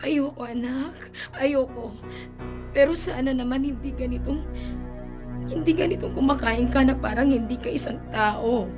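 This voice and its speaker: A radio-play mother, middle-aged and desperate, played by an actress, her high voice trembling and dropping to whispers as she frets. Faint music sits under her lines. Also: moderate pace, close to an everyday voice. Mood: anxious. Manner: tremulous, whispery, anxious. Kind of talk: radio drama acting.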